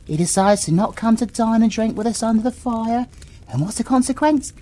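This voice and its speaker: A British woman playing a wry, good-natured merrymaker in an animated manner. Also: on the high side, a little fast, lightly acted. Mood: wry pity.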